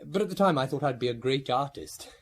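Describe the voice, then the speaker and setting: An actor playing an evasive former painter in his late twenties, a new father, with a husky voice, in a radio drama. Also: mid-range voice, animated, fast, natural voice. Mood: wry, reminiscent. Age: young adult.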